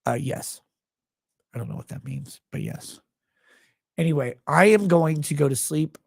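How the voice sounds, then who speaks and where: Gravelly tired guy hosting a stream, husky and kinda fried, swears a lot, rambles. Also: fairly high pitch, animated, unhurried, no room sound, clean studio sound. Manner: rambling, profane, blunt. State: tired, low on sleep. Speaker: livestream host. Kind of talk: casual livestream sign-off chat.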